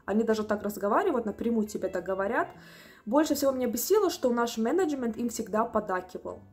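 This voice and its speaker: A young woman in her twenties telling a story to the camera from a small room at home, animated and indignant. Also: on the high side, quick pace, faint music behind. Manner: animated, indignant, acts out customers' voices. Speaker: vlogger.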